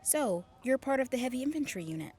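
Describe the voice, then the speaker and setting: A woman's voice: high-pitched, sing-song, fast talker, darkish tone, breathy edge, faint music behind, full character voice. A woman playing a playful, teasing army officer in an audio drama, with an informal manner.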